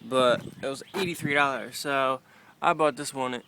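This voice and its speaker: A man's voice: medium pitch, normal speed, pushed and bright, slight background noise. A man giving a casual, off-the-cuff walkthrough as an amateur vlogger, informal and given to mild swearing, recorded on a handheld camera in and around a car. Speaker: amateur vlogger showing a car radio install.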